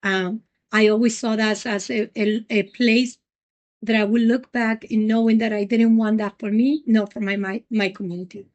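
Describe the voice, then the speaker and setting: A middle-aged woman from Puerto Rico, the president of a nonprofit, answering interview questions earnestly with many pauses and ums. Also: fairly high pitch, level tone, a little mellow.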